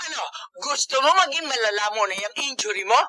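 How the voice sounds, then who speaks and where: A woman's voice: a little high, expressive, fast talker, bright, forceful tone. A woman playing a strict, anxious middle-aged mother with a Filipino accent in an emotional radio drama. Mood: angry, scolding. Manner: scolding, emphatic, emotional.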